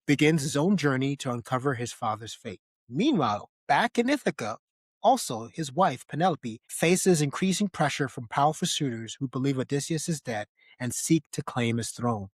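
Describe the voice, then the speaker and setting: A man's voice: mid-range voice, dry recording. Man hosting a podcast, close dry sound. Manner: emphatic, repeats phrases for effect. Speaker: podcast host. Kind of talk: casual film discussion podcast.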